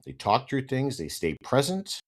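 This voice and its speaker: A man's voice: medium pitch, huge pitch swings, moderate pace. A man hosting a solo podcast, sounding congested and a little nasal from hay fever, talking in a conversational way and tagging "right?" onto many points. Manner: conversational, repeats "right?" often, turns firm and emphatic when ranting. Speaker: podcast host.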